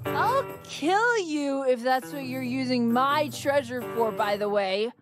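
A brash, scornful young woman from a visual novel, put on by a female reader. She drawls slowly in a high, swinging voice, blustering and bratty, with music playing behind her. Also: expressive. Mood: brash, needling. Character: brash, scornful young woman treasure hunter, manic temper. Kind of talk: visual novel character dub.

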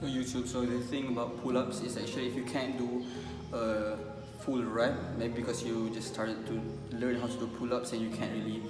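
A man's voice: mid-range voice, level tone, normal speed, music in the background. A young man in a college dorm room, talking through his home workout in a casual, rambling way with many fillers and restarts. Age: young adult. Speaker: fitness vlogger.

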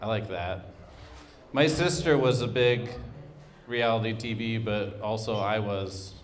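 Slow, halting, awkward male stand-up. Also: lowish voice. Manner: halting, awkward, rambling, deadpan. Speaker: stand-up comedian. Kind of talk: absurdist stand-up at an open mic. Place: small comedy club stage, indoors. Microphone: handheld microphone.

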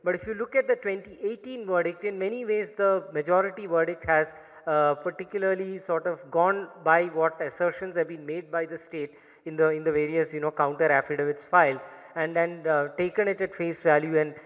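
Man, thirties, Indian English accent, high voice, conference talk, measured, many fillers, podium mic, long hall echo. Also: steady tone. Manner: measured, explanatory, frequent fillers and restarts. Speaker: panel speaker. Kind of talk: conference presentation. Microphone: podium gooseneck mic. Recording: muffled reverberant hall recording.

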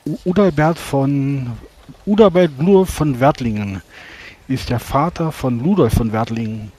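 A man playing a courteous, formal battle mage who speaks politely and haltingly. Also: medium pitch, darkish tone, slightly rough.

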